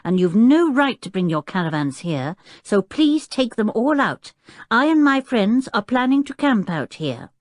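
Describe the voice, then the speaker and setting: A woman's voice: a little high, big pitch swings, unhurried, no room sound, studio quality, voice pushed up. A woman voicing a headstrong young boy in a children's storybook, blunt and self-important. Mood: huffy.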